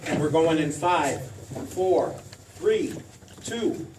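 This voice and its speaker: A man's voice: a little high, takes it slowly, a little mellow. A man hosting a live stage show, casually addressing the audience. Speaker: host introducing a stage show. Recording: distant mic, noisy audience room.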